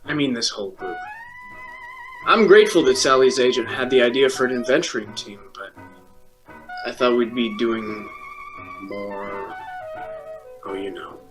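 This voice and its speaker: An actor playing an earnest, protective masked crime fighter in a fan-made drama. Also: male voice, medium pitch, unhurried, pauses often, over music, lightly acted, low-quality recording. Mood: earnest, doubtful.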